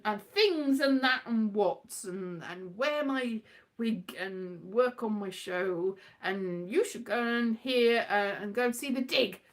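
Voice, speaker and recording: A woman's voice: on the high side, fairly bright tone. A British woman chatting casually in a whimsical, digressive monologue, often turning playfully dramatic. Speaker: performer and vlogger.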